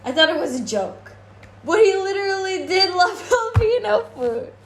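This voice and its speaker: A woman guest chatting casually with her husband, quick and lively as she chimes in. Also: very high voice. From Los Angeles, United States. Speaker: guest, host's wife. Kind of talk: casual conversation. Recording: echoey room with faint background noise.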